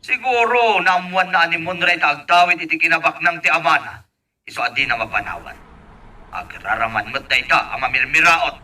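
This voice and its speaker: Casting: male actor for demanding, distrustful boss in radio drama, piercing tone, highish pitch. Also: lively intonation, fairly quick, pushed and bright. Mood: nagging. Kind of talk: Ilocano radio drama acting. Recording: distant microphone.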